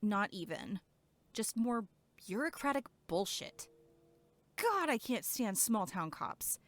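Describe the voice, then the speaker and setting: A woman acting as a sharp-tongued, sarcastic young camp counselor in an audio drama. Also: mid-range voice, big pitch swings, slow pace, no room sound. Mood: exasperated, sarcastic.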